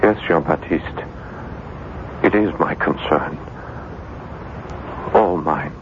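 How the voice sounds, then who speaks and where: A man playing a tormented, obsessed writer in a 1970s radio drama, brooding and argumentative in a theatrical way. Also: takes it slowly. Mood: grim resignation. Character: tormented male French writer, obsessed with an unseen evil. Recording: dull 1970s radio broadcast, faint rumbling noise.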